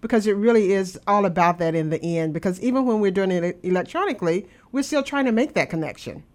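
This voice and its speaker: A woman's voice: mid-range voice, expressive, quick pace, darkish tone. A woman hosting a casual interview, asking reflective questions and offering supportive remarks. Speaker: host.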